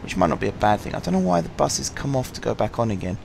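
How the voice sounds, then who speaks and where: A man with a sore throat, slightly husky, casually commenting on a game through a headset, rambling and apologetic with many ums. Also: mid-range voice, animated, quick pace, background noise. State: sore throat, fighting coughs. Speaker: gaming channel host. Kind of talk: casual let's-play commentary.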